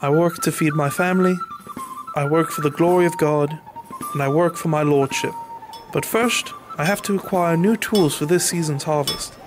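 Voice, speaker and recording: A man's voice: fairly high pitch, moderate pace, over music, natural voice. A man playing an earnest, humble medieval peasant farmer in an audio drama, with a pleading, hesitant manner. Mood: solemn. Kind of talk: audio drama acting and narration.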